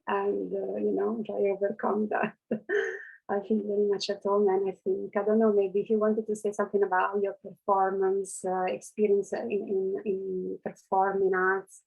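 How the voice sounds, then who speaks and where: A woman's voice: mid-range voice, completely flat delivery, normal speed, vocal fry, husky, phone-quality sound. A woman taking part in a writing workshop on a video call, who grew up outside Canada and speaks hesitantly with many fillers. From not Canada. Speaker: workshop participant. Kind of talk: casual group talk on a video call.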